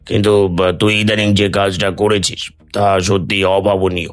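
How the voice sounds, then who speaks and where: A man voicing a stern, authoritative village headman in an animated story, grave and measured. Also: low-pitched, no echo. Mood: grave, probing.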